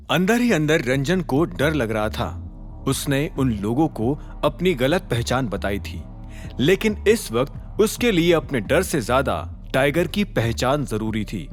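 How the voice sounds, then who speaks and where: A man giving scripted, suspenseful dramatic narration of a story in an expressive manner. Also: fairly high pitch. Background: background music.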